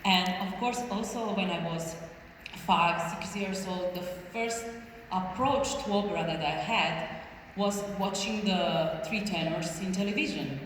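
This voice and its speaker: A woman's voice: lowish voice, a little slow. Woman in a reverberant hall. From Naples, Italy. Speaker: opera singer on a panel. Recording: echoey hall with faint noise.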